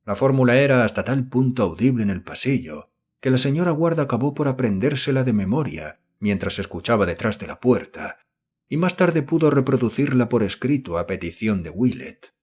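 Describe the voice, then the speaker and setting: A man reading a horror novel as an audiobook narrator, in a measured, solemn manner. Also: mid-range voice, animated, a little mellow, breathy edge.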